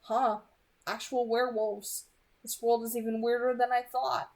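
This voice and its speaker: Woman reading as an earnest, gentle young male vampire. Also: a little high, little pitch movement, unhurried. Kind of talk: amateur audiobook reading.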